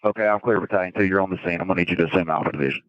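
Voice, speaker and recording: Over a dull, dry two-way radio, a man gives orders as a fire commander. His delivery is quick, clipped and businesslike. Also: deep voice, no room sound. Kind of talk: terse emergency radio dispatches. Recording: dull, band-limited fireground radio traffic.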